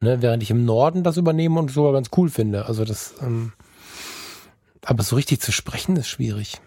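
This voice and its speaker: Fast-talking blunt man, dark husky voice. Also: medium pitch, dry recording, studio quality. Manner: blunt, joking, talks fast. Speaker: podcast co-host. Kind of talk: casual podcast chat.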